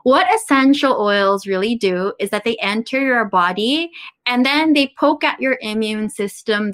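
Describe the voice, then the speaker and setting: A woman from Taiwan who grew up in the Philippines, a guest telling personal stories in a lively way and laughing between phrases. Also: medium pitch. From Taiwan, raised in the Philippines. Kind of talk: conversational interview.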